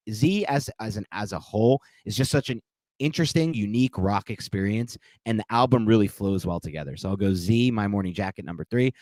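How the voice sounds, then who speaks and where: Enthusiastic man with a lowish voice. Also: a little mellow, no echo. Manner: enthusiastic, laughs often. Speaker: podcast co-host. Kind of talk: casual podcast chat.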